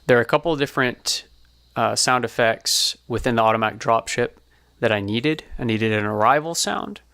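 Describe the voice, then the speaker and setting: A man presenting an informal behind-the-scenes explainer as a sound designer, speaking in a casual, halting way. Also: medium pitch, lively intonation, creaky, bright, forceful tone, clean tone.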